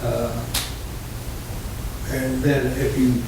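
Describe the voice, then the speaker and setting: A man with an East Tennessee accent speaking informally at a committee meeting, plain-spoken and a little halting. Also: mid-range voice, little pitch movement. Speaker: county committee member leading questions. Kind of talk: informal questioning at a public meeting. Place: echoey indoor meeting room. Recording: very echoey, noisy room, distant microphone.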